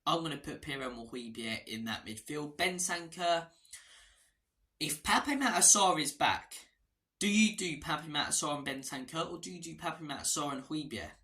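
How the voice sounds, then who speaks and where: A hoarse man talks through a football preview in a loose, chatty monologue. His voice sounds bright and forceful. He wanders off topic and restarts phrases as he goes. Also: a little high, animated, moderate pace. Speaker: fan channel host.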